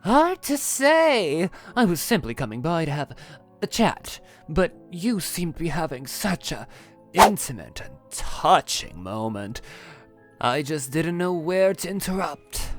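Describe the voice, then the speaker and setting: A man playing a sly, taunting demon messenger in an animated roleplay, with a teasing, sarcastic drawl. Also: on the high side, unhurried, over loud music. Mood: mocking. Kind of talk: Minecraft roleplay voice acting.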